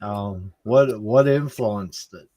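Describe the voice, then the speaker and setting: Slow, hesitant podcast host man. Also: lowish voice, mellow, no room sound. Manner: hesitant, many ums. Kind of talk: interview.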